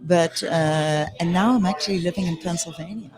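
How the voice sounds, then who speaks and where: A woman, chatting easily and filling pauses with "you know". A busy crowd chatters clearly behind her in a club bar. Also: lowish voice, low-quality recording. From Netherlands. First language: Dutch. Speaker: guest. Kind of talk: casual interview chat. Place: club bar during a social evening.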